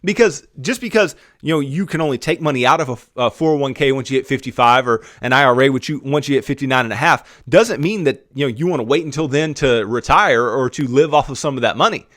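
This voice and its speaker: A man's voice: mid-range voice, fast talker, hardly any pauses, fairly bright tone, no room sound. Chatty male finance host, dry sound. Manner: conversational, frequent "right?" and "okay?" check-ins. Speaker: podcast host. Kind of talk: explanatory finance monologue.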